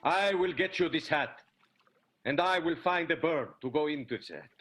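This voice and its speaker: A man's voice: fairly high pitch, lively intonation, normal speed, a bit bright. An actor playing a melodramatic, ardent leading man with florid, lingering delivery in an improvised radio soap opera. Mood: grandiose. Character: melodramatic ardent silent-film leading man, jealous husband.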